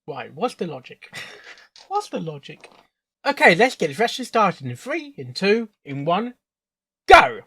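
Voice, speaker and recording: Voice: medium pitch, big pitch swings, unhurried, fairly bright tone, breathy edge. A reaction host talking casually in short asides, echoing and answering what is being heard.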